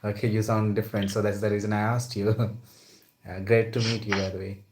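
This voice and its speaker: Indian man, easygoing chat, fairly low voice. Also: level tone, a little fast, noisy background. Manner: friendly, leans on "like" and "right?". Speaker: conversation partner. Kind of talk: casual conversation practice.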